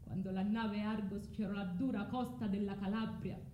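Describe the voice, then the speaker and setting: An actress playing Medea, a proud, anguished exiled wife and mother, in a declamatory, deliberate radio drama monologue. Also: medium pitch, fairly even intonation, roomy sound, muffled recording, noisy background, lightly acted. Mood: cold recollection.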